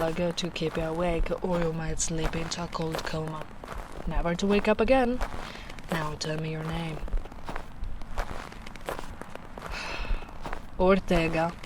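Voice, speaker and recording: A big, kindly ogre woman acted by a female performer speaks softly and very slowly in an ASMR roleplay. Her voice sits a little low, and she sounds caring and plain-spoken as she muses. A light bed of ambient noise runs behind her. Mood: firm, caring. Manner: unhurried, musing, soft-spoken.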